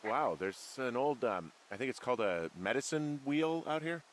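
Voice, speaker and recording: A man voicing a weary, wry, awkward male fire lookout in a video game, speaking hesitantly with low-key dry humor. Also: animated, moderate pace, natural voice, poor audio. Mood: uneasy, wry. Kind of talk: naturalistic video game voice acting.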